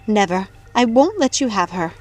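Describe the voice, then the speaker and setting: A woman's voice: medium pitch, big pitch swings, moderate pace, darkish tone, faint music behind. A woman voicing an impassioned young witch mother in an audiobook, defiant and pleading as she protects her child. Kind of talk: audiobook character dialogue.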